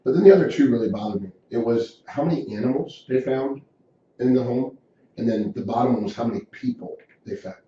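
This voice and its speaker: A middle-aged man answers interview questions in an earnest, descriptive way, his voice dark and mellow. He sits well away from the microphone, so the sound is dull and distant. A strongly echoing room surrounds him, with faint ambience underneath. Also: medium pitch, a little fast, slight background noise. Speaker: insurance agency owner, guest.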